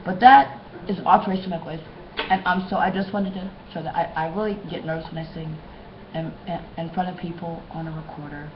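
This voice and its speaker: Hoarse woman, lowish voice, rambling webcam monologue, muffled audio, steady hiss behind. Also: fast. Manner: rambling, repetitive, many restarts. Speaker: vlogger. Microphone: webcam mic.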